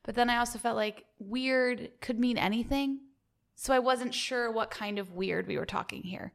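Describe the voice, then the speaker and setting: A woman's voice: fairly high pitch, moderate pace, creaky. A young woman from the American South applying as co-host, talking in an eager, earnest and self-deprecating way in an echoey new studio. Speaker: co-host applicant. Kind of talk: comedic interview banter.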